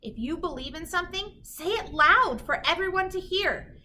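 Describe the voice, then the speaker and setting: A woman reading a children's picture book aloud in an animated, emphatic storytelling manner. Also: very high voice, normal speed, a little hiss or hum. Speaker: children's story reader, teacher.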